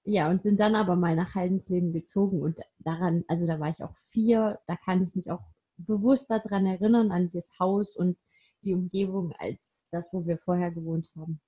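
A young woman from Saxony-Anhalt chatting casually and telling stories in a measured way. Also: on the low side, steady tone, dark tone, breathy edge, rough recording. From Saxony-Anhalt, Germany. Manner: measured, storytelling. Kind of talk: casual chat.

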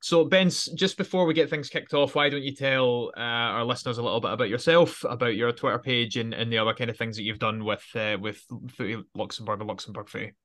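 A man's voice: medium pitch, steady tone, fairly quick, few pauses, fairly bright tone. A man co-hosting a football podcast, chatting in a friendly way and often repeating words as he restarts. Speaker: podcast co-host. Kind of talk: casual podcast chat.

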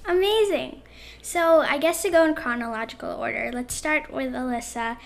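Kobieta prowadząca podcast mówi wysokim głosem z lekką chrypką i chrapliwym pogłosem na końcach zdań. Brzmi żywo i serdecznie, gdy wita słuchaczy i zadaje pytania. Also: female voice, high voice, normal speed, vocal fry. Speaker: podcast host. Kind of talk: podcast interview.

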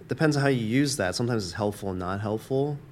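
A man's voice: medium pitch, fast, a little hiss or hum. A man born in Taiwan, a campus minister, talking reflectively in an interview with many fillers and restarts.

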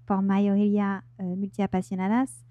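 A woman's voice: medium pitch, monotone, a little slow, dark tone, no echo. A woman from France with a French accent, a native French speaker chatting as an interview guest and searching for words in her second language. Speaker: podcast guest, life coach. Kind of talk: casual interview chat.

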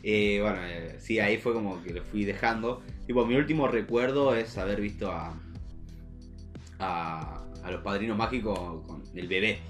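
A young man with a Rioplatense Argentine accent co-hosting a casual podcast, jokey and slangy, telling stories in an animated way. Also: fairly low pitch, normal speed, soft background music. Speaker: podcast co-host.

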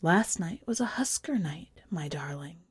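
A woman voicing an eerie, fond, haunted wife in a story, speaking in a lyrical, breathy incantation that dips toward whispers. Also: lowish voice, animated, a little slow, no room sound, clean studio sound, voice pushed down, heavily acted. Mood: chilly, fond.

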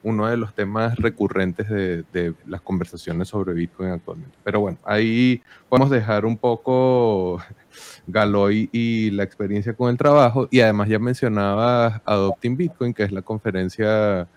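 A man hosts a relaxed interview with a fairly low voice. He talks at length and asks long, winding questions. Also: normal speed. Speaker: interviewer, host. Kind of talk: casual interview.

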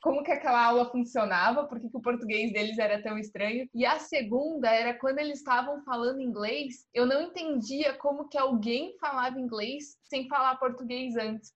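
A woman's voice: a little high, brisk, hardly any pauses, fairly bright tone, muffled recording. A woman hosting a casual interview, asking thoughtful questions and sharing memories. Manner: curious, reflective questions. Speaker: host.

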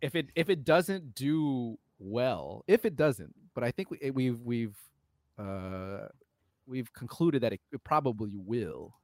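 A man co-hosting a podcast, chatting casually about films in an animated, slangy, argumentative way. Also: mid-range voice, takes it slowly, dry recording, studio quality. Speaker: podcast co-host. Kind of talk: casual film chat.

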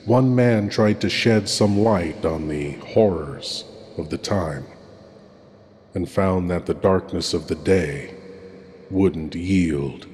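A man hosts a horror show with an ominous, measured delivery. His voice sits low and he speaks very slowly. A long reverb trails his words, with music underneath. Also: darkish tone, slight background noise. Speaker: horror film show host. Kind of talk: theatrical horror host outro.

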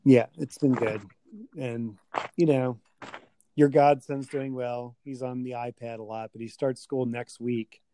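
A man's voice: medium pitch, flat delivery, measured pace, mellow, poor audio. A man hosting a casual podcast chat with a friend, tired after a long day and rambling with many fillers.

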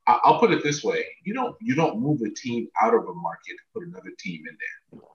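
A man's voice: mid-range voice, big pitch swings, fast talker. A middle-aged man talking casually and emphatically about sports as a podcast guest, often repeating words for stress. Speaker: podcast guest, basketball association president. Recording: muffled, roomy, distant mic.

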